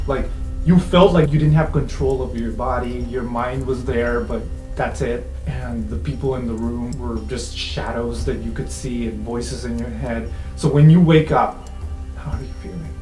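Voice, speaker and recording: A man's voice: mid-range voice, fairly even intonation, moderate pace, mellow. A man narrating an improvised tabletop game as game master, in an informal way. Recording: roomy echo, faint music underneath.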